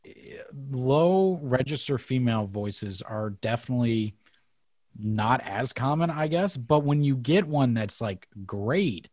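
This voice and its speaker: A man's voice: medium pitch, animated, slow, darkish tone, dry recording, studio quality. A young man hosting an interview podcast, conversational and enthusiastic, with plenty of "you know"s. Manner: enthusiastic, conversational, many fillers. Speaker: podcast host. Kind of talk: casual interview chat.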